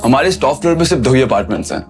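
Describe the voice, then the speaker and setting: An actor playing a polite, formal hotel attendant in an audio drama, speaking deferentially. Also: male voice, medium pitch, very animated, rapid-fire, faint music behind. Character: courteous, formal hotel attendant guiding a guest. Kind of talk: Hindi audio drama acting.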